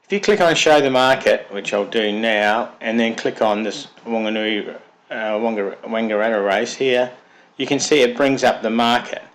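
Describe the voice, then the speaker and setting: A man with a cold and a slightly froggy voice, methodically walking through a piece of software step by step. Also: mid-range voice, moderate pace, low-quality recording. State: has a cold, sounds froggy. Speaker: software developer presenting his product. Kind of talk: screen-recorded software walkthrough.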